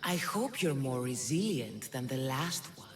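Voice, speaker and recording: A woman's voice: very animated, a little hiss or hum, heavily acted. A woman voicing an ancient, stern female sphinx who speaks in a grave, commanding way in a video game. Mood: imperious. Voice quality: very deep, slow and grave.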